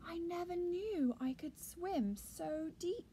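A woman voicing a playful, eager young male octopus from a children's storybook, excitable and full of wide-eyed exclamations. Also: extremely high pitch, slow, a little hiss or hum, pitched above the performer's own voice. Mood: amazed, excited.

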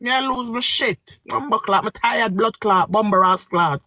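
A woman's voice: fairly high pitch, normal speed, no echo. A woman with a Jamaican accent, exhausted and upset, swearing and repeating curses on a live stream. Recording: loud background noise.

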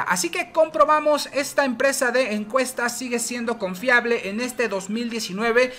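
A woman, the channel host, calmly walking the viewer through a screen-recorded tutorial and speaking directly to them. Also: on the high side. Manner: steady, explanatory, addresses viewer directly.

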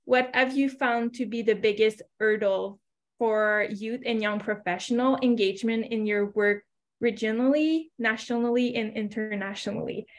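A woman in her twenties hosts an online discussion. Her voice sits a little high, and she passes questions along informally over a video call. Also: moderate pace. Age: 20s. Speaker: moderator. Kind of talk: informal online panel moderating. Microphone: wired earphones on video call.

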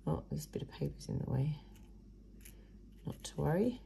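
A croaky-voiced woman talks through a craft tutorial in a slow, low, gravelly voice, chatty and prone to muttered asides. Her tone is mellow, and she sits at a table under an overhead camera, with a faint hiss behind her. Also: expressive. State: hoarse, croaky voice. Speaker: craft video host. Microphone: overhead camera above a table, hands only.

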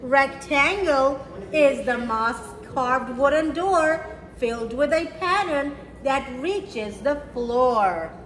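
A woman with a high voice reads to young children as a storytime teacher. She speaks slowly and simply, with an encouraging manner. The recording is echoey, as in a roomy space. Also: expressive, a bit bright. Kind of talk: read-aloud of a picture book for children. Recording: roomy echo with faint background noise.

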